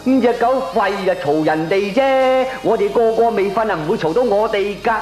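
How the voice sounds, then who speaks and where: A man's voice: sits high, moderate pace, darkish tone, natural voice. An actor playing a scheming, gleeful male neighbour in a comic radio drama, with a Hong Kong Cantonese accent. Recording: echoey radio play with music behind.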